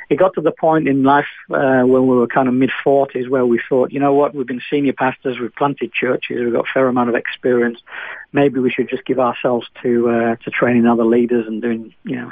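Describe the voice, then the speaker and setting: A man with a Manchester accent, a pastor in his fifties, tells his story over a thin, phone-like line. His voice has a gravelly edge and stays fairly flat, with many fillers and restarts. The sound is dry and close. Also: mid-range voice, no echo. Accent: Manchester English. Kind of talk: radio interview guest.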